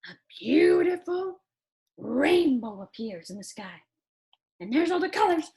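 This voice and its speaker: A woman's voice: sits high, big pitch swings, unhurried, creaky, darkish tone, heavily acted. A woman voicing an eager childlike puppet friend who reads a picture book to children in an exaggerated, wondering manner. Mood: gentle, wondering. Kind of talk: animated children's storybook read-aloud.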